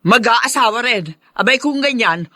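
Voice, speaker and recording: Sharp, scolding mother. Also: on the high side, expressive, moderate pace, no room sound, clean studio sound. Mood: scolding, suspicious. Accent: Filipino. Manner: nagging, accusing. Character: scolding, suspicious mother of a teenage boy. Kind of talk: Filipino radio drama acting.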